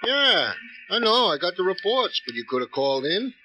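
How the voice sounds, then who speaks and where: A man's voice: high voice, sing-song, moderate pace. An American man acting a wry, grumbling police sergeant in a 1950s radio drama. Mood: wry, matter-of-fact. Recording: old radio recording with faint noise.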